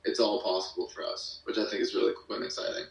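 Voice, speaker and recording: A man's voice: low voice, flat delivery, fast, vocal fry. A man talking casually and enthusiastically as an interview guest, with slangy, informal phrasing. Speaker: guest, musician and audio drama creator. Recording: echoey room, distant from mic.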